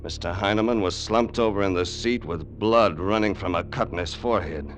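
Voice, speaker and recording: Low-voiced loyal crime sidekick played by an actor. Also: male voice, a little fast, lightly acted. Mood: tense narrating. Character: loyal, eager male crime sidekick telling his story. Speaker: actor in a radio suspense drama. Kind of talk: 1950s radio acting, first-person narration and dialogue. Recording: vintage radio drama with music bed.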